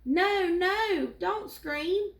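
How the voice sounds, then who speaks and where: A woman's voice: very high-pitched, very slow, voice pushed up, full character voice. A woman voicing a tired, harmless storybook leopard, pleading and complaining. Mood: weary pleading. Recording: echoey room.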